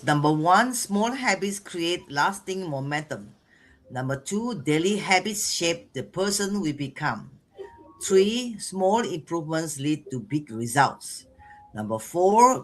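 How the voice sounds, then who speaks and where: Slow-speaking older woman from Brunei. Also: deep voice, rough recording. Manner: slow, halting, with pauses. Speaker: panelist.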